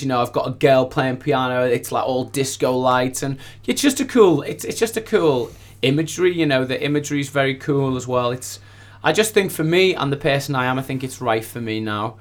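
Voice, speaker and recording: A man who is a recording artist, chatting casually and informally in a studio interview, often repeating phrases for emphasis. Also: mid-range voice.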